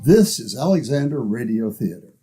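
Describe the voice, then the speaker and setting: A man announcing the credits of a radio theater show in a formal, declamatory way, with a husky voice. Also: mid-range voice, big pitch swings, moderate pace, dark tone, faint music behind.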